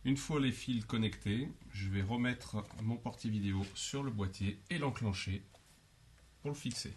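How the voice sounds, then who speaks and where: A man calmly narrating a home improvement job step by step, describing each action as he goes. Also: on the low side, moderate pace, slight background noise. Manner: methodical, explains each step in first person. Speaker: DIY instructor.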